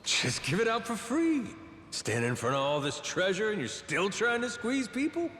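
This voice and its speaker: A man voicing a brash, big-hearted pirate captain in a video game, blunt, casual and expressive. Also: high voice, normal speed, slight background noise. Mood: delighted. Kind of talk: scripted video game cutscene acting. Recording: echoey cave-like hall with faint music.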